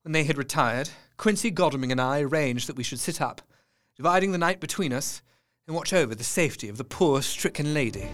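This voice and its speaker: An actor playing a grave, compassionate young doctor narrating in an audio drama, in a solemn way. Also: male voice, on the high side, expressive, brisk, fairly bright tone.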